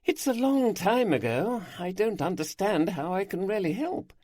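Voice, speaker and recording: A man's voice: sits high, lively intonation, normal speed, darkish tone, no echo, voice pushed up. A man voicing a polite, refined woman in her mid-forties with a Home Counties accent. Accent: English Home Counties.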